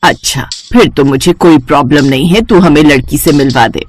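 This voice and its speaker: A woman's voice: sits low, moderate pace, voice pushed down. A woman voicing a greedy, snobbish middle-aged mother-in-law in a cartoon story, with a scolding, exclaiming manner. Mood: calculating. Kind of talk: Hindi cartoon bedtime-story character acting.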